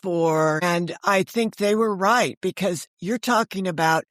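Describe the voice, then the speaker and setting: A woman's voice: on the low side, measured pace, no echo. Chatty woman telling anecdotes, dry close sound. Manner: chatty, digressive, acts out others' quoted remarks. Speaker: interview guest, author and storyteller. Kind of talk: animated anecdotal storytelling in casual conversation.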